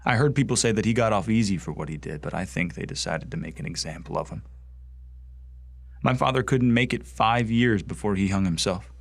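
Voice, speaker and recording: A man performing a young would-be space marine in a radio drama, bigoted and full of grievance. The voice is on the low side and very dry, close and free of room. He speaks with indignant intensity and weighty pauses. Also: animated, vocal fry, no room sound. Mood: angry, grieving. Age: young adult. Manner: intense, indignant, with heavy pauses and sudden outbursts.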